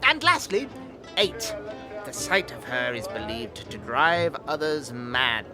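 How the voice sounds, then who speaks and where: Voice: medium pitch, very animated, takes it slowly. An actor playing a nervous, bookish older male scholar in a fantasy audio drama, hesitant, courteous and pedantic in formal phrasing. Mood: courteous, solemn. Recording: music behind the voice. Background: music.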